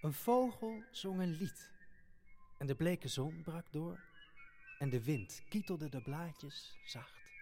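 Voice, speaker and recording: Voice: mid-range voice, animated, takes it slowly, mellow, slight background noise. An adult actor playing an eager, playful child who tells the story expressively in a family audio play. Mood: wistful narrating.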